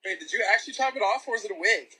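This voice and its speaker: High-voiced young man on a call. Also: expressive, racing, pushed and bright, breathy edge, low-quality recording. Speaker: friend on a call. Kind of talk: casual phone chat. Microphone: phone call.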